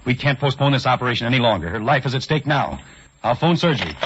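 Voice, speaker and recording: An actor playing an earnest, principled young surgeon in an old radio drama. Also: male voice, medium pitch, animated, fast talker, a bit bright, husky. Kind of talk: 1940s radio acting. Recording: dull old radio recording.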